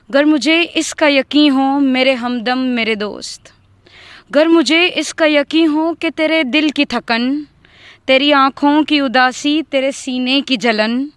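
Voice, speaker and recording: High, smooth-voiced woman reciting poetry. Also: no echo. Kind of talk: dramatic Urdu poem recitation.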